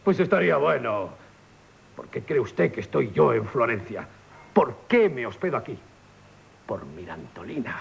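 An actor playing a proud, sarcastic rich count, a challenging rival suitor, in a theatrical radio comedy. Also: male voice, medium pitch, big pitch swings, moderate pace, vocal fry, pauses often, slight background noise. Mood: defiant.

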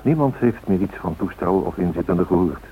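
An actor playing a solemn, reflective narrator in a radio play, reading in a measured way. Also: male voice, low-pitched, animated. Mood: solemn, factual. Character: solemn, reflective male documentary-drama narrator. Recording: tinny hissy old radio recording.

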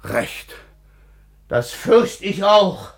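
An actor playing a proud, impulsive, blunt-spoken dervish in a classical radio drama, declaiming with theatrical emphasis. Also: male voice, sits high, big pitch swings, drawn-out words, vocal fry, husky, heavily acted. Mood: defiant, wistful. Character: proud, impulsive dervish turned sultan's treasurer, blunt free spirit.

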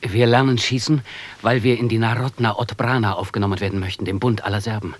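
Male actor as teenage revolutionary, radio drama: slightly rough husky voice, earnest, fervent, respectful. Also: on the low side, fairly even intonation, fast talker, natural voice. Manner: solemn, formal, fervent. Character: earnest, idealistic nineteen-year-old male revolutionary. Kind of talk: German radio drama acting.

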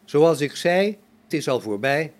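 An amateur actor in a radio play as a calm, pious robot, a man speaking in a measured, solemn, preachy manner. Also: on the high side. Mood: polite, serene.

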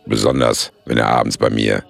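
An actor playing a folksy, laconic pub landlord in his sixties with a gravelly voice, in a radio play. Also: a bass voice, little pitch movement, moderate pace, fairly bright tone, heavily acted. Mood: wry, reflective. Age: 60s. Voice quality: gravelly, creaky. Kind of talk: radio play acting, casual pub talk.

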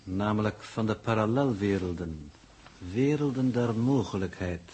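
A husky-voiced, coolly superior intellectual man in a 1960s radio serial. He talks in stilted, pompous and exact sentences, condescending to everyone as he explains. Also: on the low side, dark tone. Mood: lecturing. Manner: stilted, pompous, precise, deliberately formal. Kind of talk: 1960s radio play acting.

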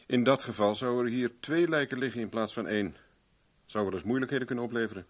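An actor playing a wry, hard-drinking private investigator in a 1970s radio drama, with a sardonic, dry humour. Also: male voice, medium pitch, fast talker, close to an everyday voice. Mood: dry resignation.

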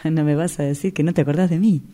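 An Argentine woman acting in a radio drama as a self-possessed woman, speaking in a deliberate conversational way. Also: sits low, steady tone, warm tone, natural voice. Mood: friendly, nostalgic. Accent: Argentine, Rioplatense.

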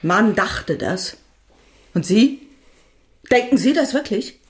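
A woman acting in a radio drama, playing a proud, weary widow with a sharp tongue and pointed delivery. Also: medium pitch, animated, slow. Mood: cutting.

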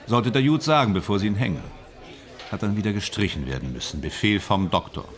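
A man's voice: on the low side, lively intonation, a little fast, lightly acted. A man in a radio play acting a vain, tormented stage actor, bitter and self-dramatising, with a theatrical, declamatory manner that swings between muttering and outbursts. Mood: mocking.

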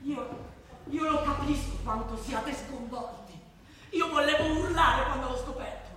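Stage drama: embattled woman principal, insistent and formal, piercing high voice, distant reverberant hall recording. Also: measured pace. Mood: grave, defensive. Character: embattled female high school principal, adamant and indignant. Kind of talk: theatrical stage drama acting. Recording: echoey hall, distant mic, faint noise.

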